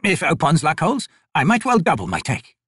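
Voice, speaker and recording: A meek old male trader, played by a man for an audiobook, speaks in a husky voice. He is hesitant and formally courteous, with nervous swings in pitch. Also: wildly swooping pitch, a bit bright, no room sound, studio quality, exaggerated voice. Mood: meek, polite. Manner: slow, hesitant, formally polite. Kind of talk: audiobook character voice.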